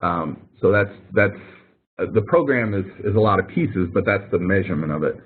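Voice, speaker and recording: A man with a fairly low, husky voice talks like a professor in an informal help session. He explains step by step and repeats key points for emphasis. The sound is narrow and phone-like, as on an online call. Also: animated, a little fast. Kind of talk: informal explanatory tutoring with questions and answers. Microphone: online call microphone. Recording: thin phone-like online conference audio.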